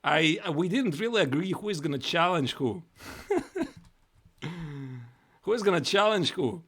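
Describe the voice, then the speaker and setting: A man's voice: fairly high pitch, animated, fairly quick, fairly bright tone, slightly rough. A man with a Latvian accent chatting casually on a live chess stream, repeating and restarting his words.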